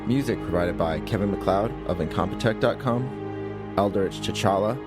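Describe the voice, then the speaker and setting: A man reading scripted credits as an announcer in a measured, formal way. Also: lowish voice. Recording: loud music under voice. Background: loud background music.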